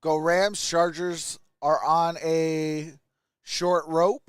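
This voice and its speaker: High-pitched, clear-voiced man calling a game. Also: level tone, extremely slow, no echo, studio quality. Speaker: livestream host and play-by-play commentator. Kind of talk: live game commentary and casual chat with viewers.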